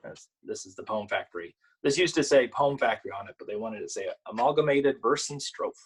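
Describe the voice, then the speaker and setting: A man with a slightly husky voice, an author and illustrator sharing picture books at a virtual storytime in an informal way. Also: mid-range voice, lively intonation. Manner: informal, halting when chatting. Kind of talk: virtual storytime, reading aloud and chatting about the books.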